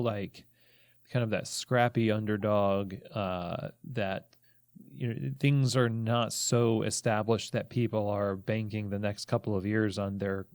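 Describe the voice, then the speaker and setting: A man hosting a conversational interview, reflective, working his way through long, halting questions. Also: lowish voice, a little mellow, no echo, studio quality. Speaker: podcast host, interviewer.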